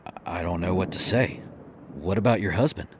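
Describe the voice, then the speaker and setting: Low voice guy actor, nervous and hesitant, flustered, horror audio drama, slight noise. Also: normal speed, lightly acted. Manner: hesitant, stammering. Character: flustered, hesitant man being seduced.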